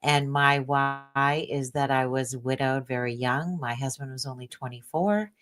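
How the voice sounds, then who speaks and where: Very deep-voiced, bold, playful woman. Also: fairly even intonation, a little slow, fairly bright tone. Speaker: financial coach. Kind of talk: panel self-introduction.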